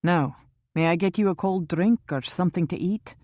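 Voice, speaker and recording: A woman doing a calm, protective man. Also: fairly low pitch, dry recording, studio quality, lightly acted. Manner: measured, reassuring, grimly insistent. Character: calm, protective older man, steady and wry.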